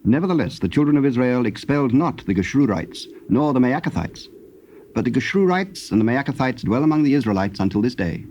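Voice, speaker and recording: Male Bible-drama narrator, medium pitch, very mellow, formal and measured, on dull cassette sound. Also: expressive. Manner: measured, formal, solemn reading. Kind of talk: scripted dramatized scripture narration. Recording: muffled old cassette tape, background noise.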